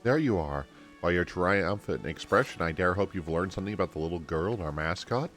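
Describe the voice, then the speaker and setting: A man's voice: deep voice, expressive, brisk, fairly bright tone, faint music behind. A man voicing an earnest, courtly video game character who speaks with formal, old-fashioned politeness. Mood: thoughtful, formal.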